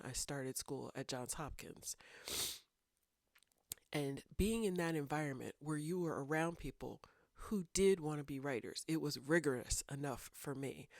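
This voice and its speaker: A woman's voice: deep voice, darkish tone, dry recording, studio quality. A woman in her thirties hosting a writing vlog, a little congested from a cold, talking thoughtfully and meandering with fillers. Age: 30s. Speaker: writing channel host. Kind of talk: casual talking-head vlog.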